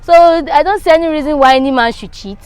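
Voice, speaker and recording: Woman, higher pitch, Nigerian accent, emphatic, opinionated, casual debate. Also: quick pace, darkish tone, no echo. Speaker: interview respondent. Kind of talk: casual debate, slipping into Pidgin.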